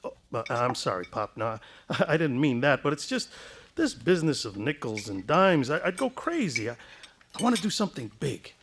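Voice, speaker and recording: A man's voice: mid-range voice, moderate pace, a little hiss or hum. An actor playing a restless, ambitious young man who talks earnestly in a radio play. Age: young adult. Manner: earnest, animated.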